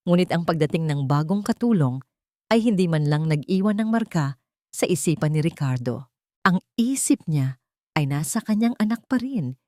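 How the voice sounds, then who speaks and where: A woman's voice: fairly low pitch, darkish tone, no echo, clean studio sound. A woman narrating a scripted dramatic story as an audio drama.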